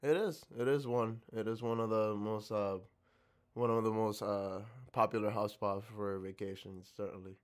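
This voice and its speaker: A man's voice: on the low side, fairly even intonation, normal speed. A young man from the Dominican Republic answering interview questions reflectively, with many fillers and restarts. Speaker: interview guest.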